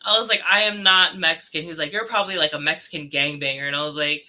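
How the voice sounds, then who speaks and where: A woman's voice: sits low, fairly even intonation, quick pace, pushed and bright. A young woman from California co-hosting a podcast, chatting casually and telling stories with plenty of swearing. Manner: storytelling, swears casually, mimics others in quotes. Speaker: podcast co-host. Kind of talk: casual chat.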